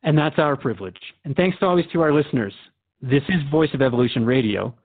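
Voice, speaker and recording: A man's voice: medium pitch, animated, quick pace, phone-quality sound. A man playing a curious, respectful radio host in a radio drama, interviewing in an inquisitive, conversational way. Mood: gracious, wrapping up.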